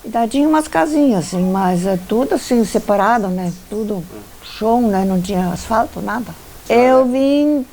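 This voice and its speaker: A woman's voice: on the high side, animated, moderate pace, dark tone. An elderly woman with a southern Brazilian accent, reminiscing informally in colloquial speech dotted with tag questions. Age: older, over 60. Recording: faint background noise and ambience.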